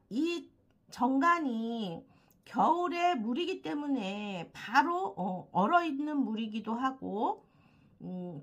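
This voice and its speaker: A woman with a slightly hoarse throat from a cold explains a monthly fortune reading as a host, haltingly, with frequent filled pauses. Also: high-pitched. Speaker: fortune-telling channel host. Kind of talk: explanatory monthly horoscope talk.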